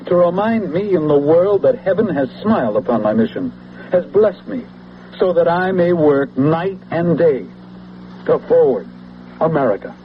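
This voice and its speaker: An actor playing a zealous, scheming political leader and veteran, speaking in a grandiose, persuasive oratorical way in a vintage radio drama. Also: male voice, a little high, expressive, normal speed, close to an everyday voice. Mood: fervent. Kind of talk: 1970s radio drama acting. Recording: muffled, noisy old radio broadcast.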